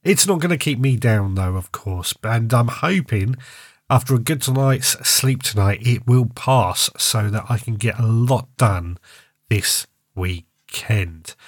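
A man's voice: medium pitch, slow, no echo, clean studio sound. Congested man hosting a podcast. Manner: chatty and rambling, often restarts phrases. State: congested, stuffy nose and sore throat. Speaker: podcast host. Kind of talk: casual solo podcast monologue.

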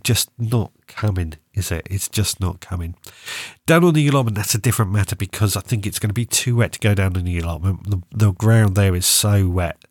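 A man's voice: sits low, fairly quick, a little mellow, dry recording, clean studio sound. A man hosting a gardening podcast, congested from a cold and a sore throat, chatting casually and often losing his thread. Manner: rambling, self-correcting, chatty. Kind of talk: casual solo podcast chat.

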